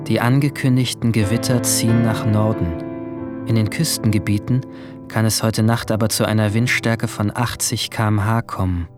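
A man playing a guilt-ridden seventeen-year-old boy, narrating his own story in a measured, introspective way in a radio drama. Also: fairly low pitch, steady tone, lightly acted. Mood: brooding, sombre. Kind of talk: scripted first-person radio drama narration. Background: music.

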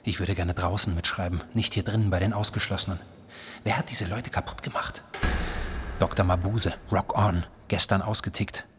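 An actor playing an agitated, wry psychiatric patient in a literary radio play, speaking terse diary fragments in a husky voice. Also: male voice, deep voice, fairly even intonation, moderate pace, background noise, close to an everyday voice. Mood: restless, suspicious. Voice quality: very husky, breathy, at times whispering. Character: agitated, self-aware, wry male psychiatric patient narrating.

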